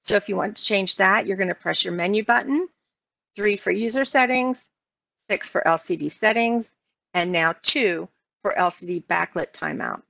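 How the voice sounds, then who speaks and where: A woman's voice: medium pitch, normal speed, vocal fry, fairly bright tone, no echo. An American woman working as a trainer, explaining things step by step in an instructional walkthrough. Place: online meeting screen-share, voice only. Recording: dull lo-fi online meeting audio.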